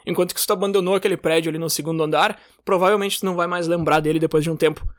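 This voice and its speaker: Guy cohosting a podcast, fast and high, dry close sound. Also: steady tone, dry recording. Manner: talks in long reasoning runs with many "cara" and "sabe". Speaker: podcast co-host. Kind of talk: casual podcast chat.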